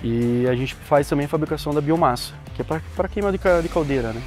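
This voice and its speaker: A man's voice: on the high side, fast talker, faint music behind. A man with a Brazilian Portuguese accent, the company's process director, explains the sawmill in a plain, matter-of-fact way, often restarting and repeating words. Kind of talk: casual explanatory interview. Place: outdoors at a sawmill.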